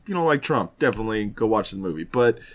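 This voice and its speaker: A man co-hosting a podcast in casual chat about films, speaking in an animated, opinionated way with frequent swearing. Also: fairly low pitch, fast talker. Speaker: podcast co-host.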